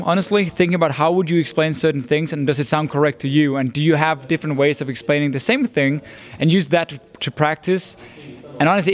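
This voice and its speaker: A young man with a Danish accent, an esports caster answering interview questions in an earnest, thoughtful way. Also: on the high side. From Denmark. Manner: earnest, thoughtful, with frequent restarts and fillers.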